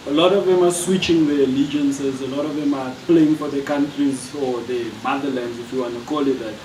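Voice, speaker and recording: A man's voice: mid-range voice, fairly even intonation, a little fast, noisy background. A man asking long, wordy questions as a reporter at a press event. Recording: echoey room, distant from mic.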